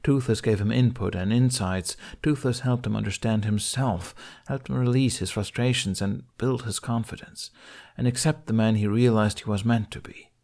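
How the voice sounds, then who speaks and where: A man reading fantasy fiction aloud as an audiobook narrator, in an expressive scripted storytelling style. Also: on the low side, normal speed, gravelly.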